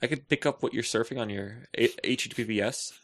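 A man's voice: medium pitch, brisk, fairly bright tone. A man co-hosting a podcast, bantering casually with jokey, playful exaggeration. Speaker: podcast co-host.

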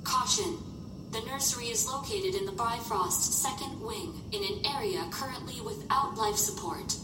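A woman's voice: moderate pace, close to an everyday voice. A woman voicing an impassive medical computer aboard a spaceship in an audio drama, formal and clinical. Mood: clinical warning. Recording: distant, echoey, noisy with rumble.

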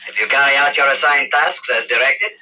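A man's voice: medium pitch, brisk, lightly acted. An actor playing a ruthless male political plotter in an old radio thriller, formal and coolly authoritative. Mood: coolly commanding. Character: smooth-talking, ruthless male political plotter, the boss villain. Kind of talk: old-time radio adventure drama acting. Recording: dull, distant vintage radio with hiss.

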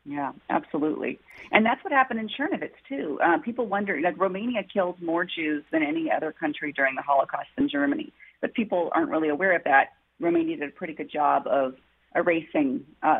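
A woman's voice: low voice, animated, fast talker, vocal fry, slightly rough. A woman author answering interview questions, telling her story in an earnest, heartfelt way. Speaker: author guest. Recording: tinny phone-line audio.

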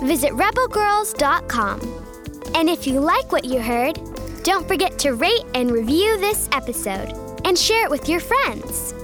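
A woman reading scripted closing credits for a children's podcast. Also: high-pitched. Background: prominent theme music.

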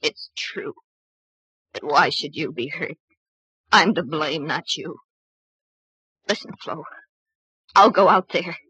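Husky, guilt-ridden young woman. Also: deep voice, bright, forceful tone, no echo, exaggerated voice, rough recording. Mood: grim, resolute. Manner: halting, intense, self-reproaching. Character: guilt-ridden, doomed young woman blaming herself for a curse. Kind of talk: old-time radio drama acting.

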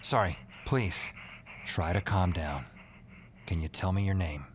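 A man's voice: low-pitched, measured pace, a little hiss or hum. A man playing a wry, rattled 911 dispatcher in an audio drama, with a sardonic, conversational manner. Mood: wry, soothing. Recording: loud music under the voice. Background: loud dramatic music.

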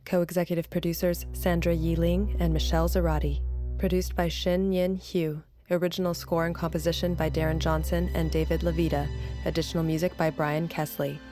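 Casting: female credits announcer, lowish and flat in intonation, measured list reading over music.

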